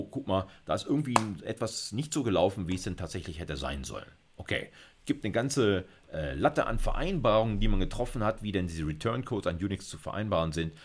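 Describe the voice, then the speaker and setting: A middle-aged man giving an informal computer science lecture, thinking aloud as he codes live. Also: low voice. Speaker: university lecturer. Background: computer keyboard typing.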